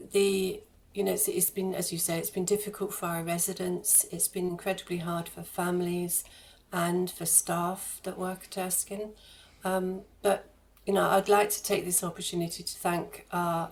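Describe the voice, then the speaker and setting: A woman giving explanatory interview answers as a charity guest, in halting phrases with repeated words. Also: on the low side. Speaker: guest, charity head of fundraising and communications.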